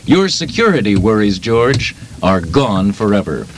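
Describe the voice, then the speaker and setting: A man reading a mock radio advertisement in smooth announcer patter. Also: on the low side, very animated, measured pace, slight background noise. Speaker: radio comedian.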